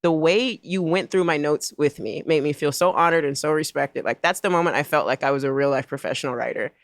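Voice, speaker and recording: A fast-talking, enthusiastic woman from Oakland. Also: deep voice, no room sound, studio quality. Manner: enthusiastic, quick and chatty. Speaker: writer and poet. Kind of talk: casual conversation.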